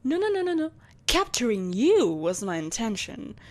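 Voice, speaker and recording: Theatrical evil empress, wildly swooping voice. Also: female voice, on the high side, big pitch swings, a little mellow. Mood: amused, mocking. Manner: imperious, theatrical, savouring pauses, mockingly sweet. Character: malevolent, possessive tyrant empress, theatrical and menacing. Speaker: voice actor in an audio roleplay. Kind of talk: dramatic audio roleplay monologue.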